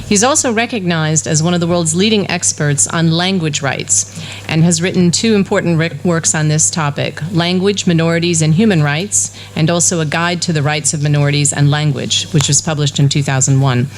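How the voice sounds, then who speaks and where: A woman's voice: sits low, normal speed, a little hiss or hum. A woman chairing a conference session reads out a formal introduction of the next speaker. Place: university lecture hall.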